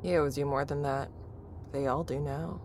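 A woman's voice: low-pitched, fairly even intonation, normal speed, darkish tone, slight background noise, lightly acted. A woman playing a cold, scheming young conspirator in a horror-comedy audio drama. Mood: cold, plotting.

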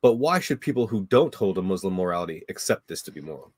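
A man moderating a livestream debate, reading out viewer questions in a brisk, flat way. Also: deep voice.

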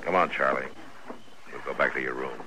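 An actor playing a firm, protective town marshal with an American accent, terse and commanding in a radio western. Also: male voice, rumbling low, a little fast. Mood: calm, reassuring. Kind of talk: 1950s radio western acting. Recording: noisy old radio recording with clicks.

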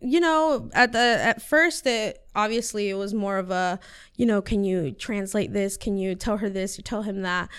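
A teenage girl whose first language is Spanish, talking about herself in an interview. Her voice comes across very clear and clean. She answers earnestly, circling back with fillers and restarts as she thinks. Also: mid-range voice, normal speed, fairly bright tone. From United States. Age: teens. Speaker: high school student guest.

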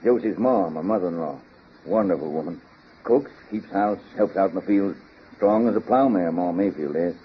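Man playing a deep-voiced folksy country farmer. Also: moderate pace, lightly acted. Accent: rural American. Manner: drawling, homespun talk. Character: folksy hired farm manager, a plain country man. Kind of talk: 1930s-40s radio drama acting. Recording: dull old radio recording, background noise.

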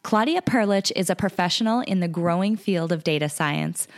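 A woman's voice: lowish voice, moderate pace, faint music behind. A woman hosting a podcast, reading an upbeat scripted introduction of her guest. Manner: upbeat, enthusiastic. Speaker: podcast host.

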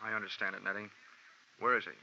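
An actor playing a cool, wisecracking insurance investigator in clipped 1940s hardboiled radio style. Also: male voice, on the low side, level tone, fast talker, vocal fry, bright, forceful tone, full character voice. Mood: wry. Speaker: lead character and narrator. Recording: dull 1940s radio recording, music behind.

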